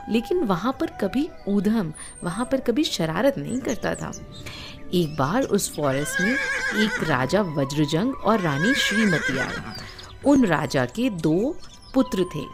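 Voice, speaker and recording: A woman with an Indian accent telling moral stories to children in an expressive, instructive way, with explanatory asides and questions to the listeners. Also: fairly low pitch. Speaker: children's storyteller.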